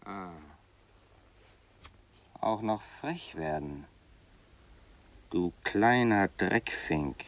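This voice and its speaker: A man's voice: deep voice, expressive, extremely slow, pauses often, exaggerated voice. An actor playing a drunken, insolent young man who sneers and taunts in a 1950s German radio drama. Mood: sneering contempt. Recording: faintly noisy 1950s radio recording.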